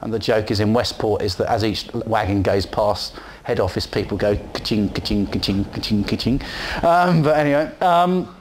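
A man's voice: lowish voice, moderate pace, slight background noise. A man from England with a West Country accent, giving a rambling, chatty conference presentation in a hall. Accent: West Country English. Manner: chatty and rambling, with frequent ums and asides. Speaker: conference speaker.

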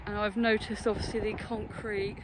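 Clearly noisy, windy phone audio carries a British vlogger's voice from an open outdoor site. The delivery is casual and unscripted, rambling along tentatively like someone thinking aloud on a walk. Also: medium pitch, level tone, moderate pace. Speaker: urban exploration vlogger. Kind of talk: casual impromptu walking commentary. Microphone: handheld phone, no headset.

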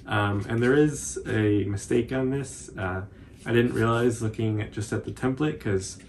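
A man's voice: fairly low pitch, measured pace, a little mellow, a little hiss or hum. A young man explaining casually as a tutorial co-host, in loose, hesitant, self-deprecating phrasing. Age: young adult. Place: small furnished room indoors, on a couch.

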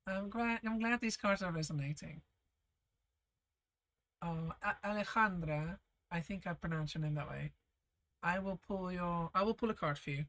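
A woman leading a casual live painting lesson, reading viewer comments and chatting warmly as she paints, often laughing softly and trailing off mid-sentence. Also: on the low side. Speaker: art teacher streaming a painting session.

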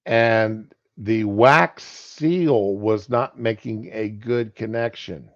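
A man's voice: fairly low pitch, dry recording. A man co-hosting a casual livestream chat over a video call, rambling with lots of fillers. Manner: slow, rambling, many fillers. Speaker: co-host. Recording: dull lo-fi call audio.

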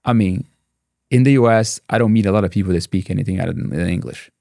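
A man in his forties, a native Portuguese speaker with a Brazilian accent, talking fast as a guest in an interview, restarting and repeating words. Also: fairly low pitch, creaky, dark tone, dry recording, studio quality. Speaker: statistics professor, guest. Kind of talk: casual interview conversation. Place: dark podcast studio. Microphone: studio mic on boom arm, headphones.

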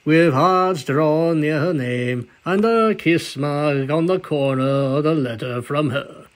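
Guy narrating a cartoon story like a storybook, kinda high voice, really slow and theatrical. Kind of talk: scripted storybook-style narration of a cartoon fanfiction. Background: music behind the voice.

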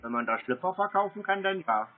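A man chatting casually and tersely on the phone in a deadpan way, baiting the caller as a prank host. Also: high voice, a little fast. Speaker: prank host answering scam calls. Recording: tinny narrowband phone line.

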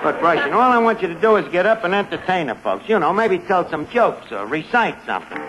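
An actor playing a wisecracking, scheming tavern manager with a slangy New York accent and a sardonic manner, in 1940s radio comedy style. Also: male voice, high-pitched, very animated, a little fast, fairly bright tone. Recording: noisy vintage radio broadcast recording.

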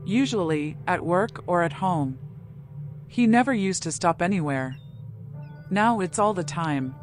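A woman's voice: on the low side, animated, measured pace. Nervous lady client in a noir game, subdued and careful, music under it. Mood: guarded. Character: anxious, reserved female animal client of a detective. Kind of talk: noir video game voice acting.

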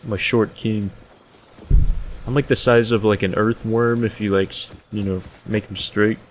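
Deep-voiced young man streaming a game. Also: level tone, moderate pace, slight background noise. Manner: swears often, jokes, thinks aloud. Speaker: streamer playing a survival game. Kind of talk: casual gameplay commentary.

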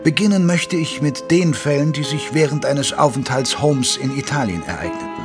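An actor playing a gentlemanly Victorian chronicler, narrating old case records in a measured, formal, solemn manner. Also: male voice, medium pitch, expressive, lightly acted. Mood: reflective, formal. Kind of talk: scripted dramatic narration. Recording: studio voice over prominent music.

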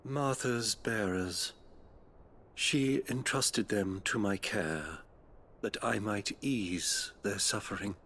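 An actor playing a gentle middle-aged priest with a British accent, speaking formally and compassionately in a video game. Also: male voice, fairly low pitch, takes it slowly, husky, exaggerated voice. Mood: solemn, sorrowful. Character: gentle, solemn middle-aged abbey priest tending the dying. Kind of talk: video game cutscene acting.

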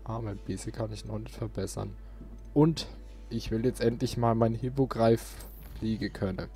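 A man's voice: fairly low pitch, normal speed, mellow, faint music behind. A man giving casual gameplay commentary as a let's play host.

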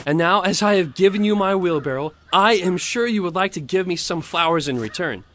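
An actor playing a pompous, self-righteous, grasping miller in an audio storybook drama, preachy in manner. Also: male voice, sits high, lively intonation, a little fast, a bit bright, slight background noise. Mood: smug, demanding. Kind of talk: audio theatre storybook acting.

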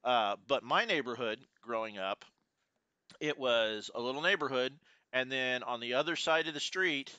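A man's voice: mid-range voice, normal speed, sharp tone, smooth, dry recording, highs cut off. A man in his forties from Houston, co-hosting a podcast and telling rambling stories with many fillers. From Houston, Texas. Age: 40s. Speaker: podcast co-host. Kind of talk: casual chat.

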